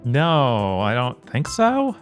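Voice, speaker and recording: A man's voice: mid-range voice, wildly swooping pitch, takes it slowly, faint music behind. A man co-hosting a podcast, giving his thoughts in casual gaming chat.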